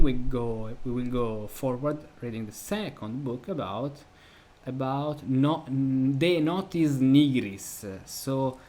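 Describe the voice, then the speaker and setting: A man's voice: mid-range voice, darkish tone. Italian guy hosting a chat in english, stumbles and restarts a lot, laughs a bunch, bit of background hiss. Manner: halting, many restarts, laughs easily. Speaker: host. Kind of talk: casual discussion of a music treatise.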